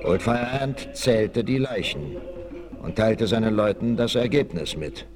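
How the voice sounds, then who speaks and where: A man's voice: fairly low pitch, expressive, measured pace, a little mellow. A man narrating a 1960s adventure audio play in a dramatic, suspenseful, emphatic scripted style. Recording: noisy 1968 vinyl transfer.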